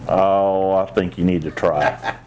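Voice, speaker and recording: Man, low voice, dark mellow tone, children's storybook reading, some background noise. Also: fairly even intonation, takes it slowly. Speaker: assistant police chief, guest storybook reader. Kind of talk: reading a children's picture book aloud with brief asides.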